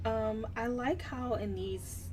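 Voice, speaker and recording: A woman's voice: on the high side, takes it slowly. Casual woman, slightly away from the mic. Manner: informal, conversational asides. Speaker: book podcast co-host. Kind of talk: casual book discussion. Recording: slightly distant mic, faint noise.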